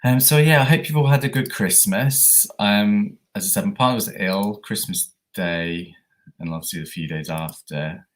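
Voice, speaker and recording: A man with a croaky voice from a coming cold, chatting casually with many fillers as he hosts a reselling livestream. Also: on the low side, moderate pace. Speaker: eBay reseller livestream host.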